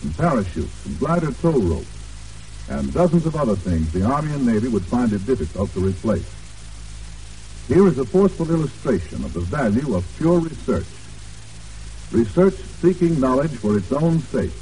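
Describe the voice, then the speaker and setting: An American man announcing on 1940s radio, reading a script in a formal, polished way with deliberate emphasis. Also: mid-range voice, sing-song, mellow, slight background noise. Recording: old 1940s radio broadcast, distant, music.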